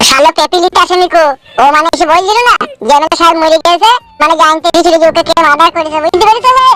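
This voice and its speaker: A woman's voice: very high voice, racing, sharp tone. A woman voicing a cheeky, teasing young son in a comedy cartoon, mocking his father colloquially.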